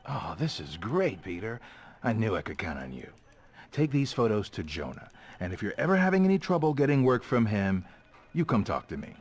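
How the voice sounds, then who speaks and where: A man's voice: fairly low pitch, big pitch swings, normal speed, slightly rough, exaggerated voice. A man playing a kindly, supportive middle-aged newspaper editor in a video game, avuncular and easygoing. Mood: pleased encouraging.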